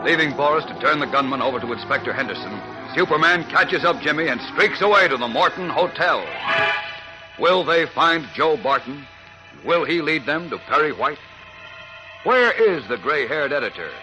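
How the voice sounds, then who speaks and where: A man's voice: a little high, lively intonation, normal speed, low-quality recording. A man narrating a 1940s radio serial as its announcer, in a dramatic, suspenseful manner. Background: music.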